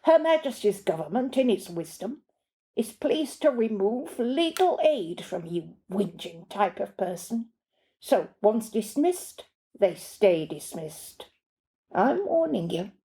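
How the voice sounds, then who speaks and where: A woman's voice: medium pitch, big pitch swings, slow pace, mellow. A woman voicing a pompous, snappish male butler in a literary story reading, condescending and florid in speech. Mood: haughty, threatening. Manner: pompous, clipped, lecturing.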